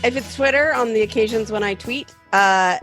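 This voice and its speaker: A woman's voice: fairly high pitch, moderate pace, music in the background, poor audio. A woman chatting casually about tech as a guest on a podcast.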